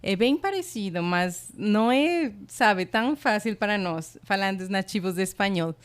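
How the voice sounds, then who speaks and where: Middle-aged woman, spanish accent, smooth clear voice, chatty and upbeat with lots of fillers. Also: mid-range voice, animated, unhurried. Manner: warm, enthusiastic, many fillers like "sabe" and "eh". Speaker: college international representative. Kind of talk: casual interview.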